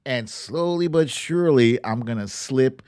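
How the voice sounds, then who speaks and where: A man hosting an online show in a playful, animated, casual style with some swearing. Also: mid-range voice, measured pace, smooth. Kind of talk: casual livestream chat, comic picture-book reading and political commentary.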